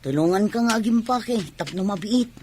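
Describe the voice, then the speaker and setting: A woman's voice: mid-range voice, animated, normal speed, darkish tone, a little hiss or hum, natural voice. Young wife played by a woman, sweet and devoted, mid-range voice, faint ambience behind her. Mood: affectionate, calm.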